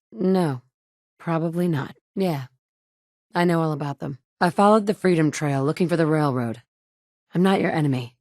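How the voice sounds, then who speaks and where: A woman's voice: low-pitched, a little mellow, no room sound, studio quality. An actress playing a determined, guarded woman survivor in a video game, speaking in a clipped, matter-of-fact way. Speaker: voice actor for a video game player character. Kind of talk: video game dialogue lines.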